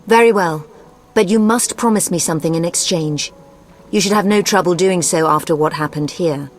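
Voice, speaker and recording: Haughty, low-voiced sorceress played by a woman. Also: moderate pace, slight background noise, natural voice. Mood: demanding, cold. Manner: haughty, formal, cutting. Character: imperious, scheming sorceress, proud and uncompromising. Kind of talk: video game voice acting.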